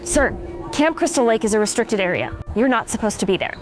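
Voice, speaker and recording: Higher-voiced, firm female emergency dispatcher acted by a woman. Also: sing-song, a little fast, soft background music. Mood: firm, controlled. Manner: firm, procedural, controlled. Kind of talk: audio drama acting.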